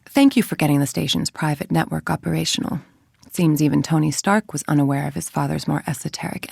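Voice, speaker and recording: Cold scheming scientist villain for a video game, low husky voice, controlled n menacing. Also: female voice, a little mellow, dry recording, clean studio sound, heavily acted. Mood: coolly formal. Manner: clipped, controlled, authoritative and menacing. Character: cold, calculating middle-aged female villain scientist.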